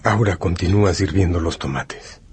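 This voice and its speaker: A man narrating a scripted radio drama in a measured, solemn storytelling manner. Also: sits low, darkish tone, slight background noise.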